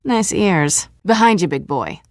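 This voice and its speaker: A woman's voice: lowish voice, lively intonation, a little slow, no room sound, studio quality. A woman voicing a playful, seductive cat burglar villain in a video game, teasing and taunting.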